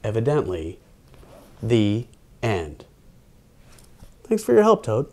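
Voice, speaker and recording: A man's voice: on the low side, huge pitch swings, slow, creaky, mellow. A man hosting children's storytime, reading a picture book aloud with playful, theatrical delivery and casual asides.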